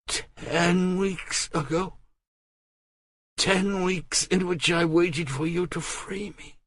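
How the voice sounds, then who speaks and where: Guy voicing a desperate young queen, high pleading voice, kinda husky, dry. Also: steady tone, slow pace, a bit bright, no room sound, full character voice.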